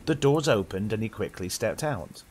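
A young British man hosting and narrating horror in a theatrical old-radio style, with deliberate pauses. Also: on the low side, expressive, darkish tone. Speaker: host and narrator.

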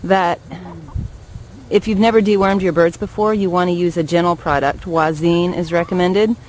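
A woman's voice: fairly low pitch, poor audio. Woman, backyard chicken keeper, matter-of-fact, casual vlog, outdoor camera audio, faint noise. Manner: plain, matter-of-fact explaining with ums.

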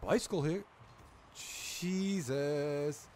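A young man chatting casually with friends while playing a video game, excitable, swearing and laughing often. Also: a little high, extremely slow. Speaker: gaming channel co-host. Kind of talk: casual gameplay banter with friends.

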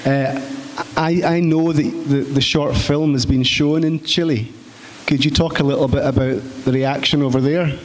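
A man's voice: medium pitch, steady tone, normal speed, hardly any pauses. A man putting a question at a public film talk, phrasing it hesitantly. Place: large hall at a public event. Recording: echoey hall with clear background hiss.

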